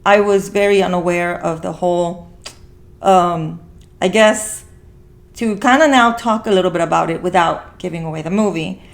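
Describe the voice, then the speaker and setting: A woman's voice: fairly low pitch, moderate pace, vocal fry. A woman hosting a podcast in casual conversation, rambling as she repeats and rephrases herself. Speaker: podcast host.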